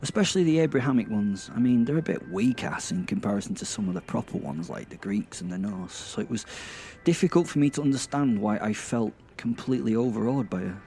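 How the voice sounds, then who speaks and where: A man's voice: on the low side, animated, brisk, dark tone, slight background noise. A man playing a chatty, irreverent werewolf who narrates a comic horror audio drama in rambling, self-interrupting asides. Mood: irreverent, musing. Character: irreverent, chatty, starstruck male werewolf killer. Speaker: narrator and host. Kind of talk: first-person comic horror monologue. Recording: music behind the voice.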